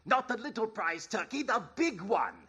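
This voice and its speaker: A man's voice: high voice, very animated, moderate pace, sharp tone, husky, exaggerated voice. A man playing a giddy, generous, reformed old miser with exclamatory, theatrical delivery in a 1930s radio play style. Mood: cheerful, eager.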